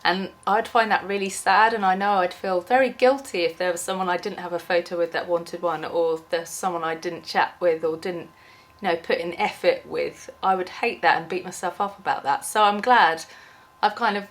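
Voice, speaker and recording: Young woman vlogger, slightly low voice, has a cold, rambling and chatty. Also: fairly even intonation, moderate pace, hardly any pauses. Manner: chatty, rambling, frequent ums and restarts. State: has a cold, losing her voice. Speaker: small YouTuber. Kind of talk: unscripted rambling vlog.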